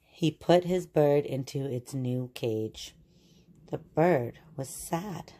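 A woman reading a children's picture book aloud and explaining it in a deliberate, teacherly way. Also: deep voice, mellow, slightly rough.

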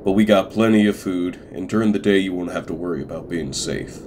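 A weary, older male farmer played by an actor speaks in a very deep voice. He is measured and plain-spoken, in an amateur comic dub with clear noise behind him. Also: close to an everyday voice. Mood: calm, firm. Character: weary older widowed farmer, former veterinarian.

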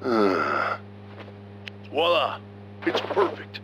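A man's voice: mid-range voice, big pitch swings, extremely slow, fairly bright tone, a little hiss or hum, full character voice, low-quality recording. A man voicing an earnest, goofy, muscle-proud strongman in a video game, musing to himself theatrically. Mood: self-absorbed, musing.

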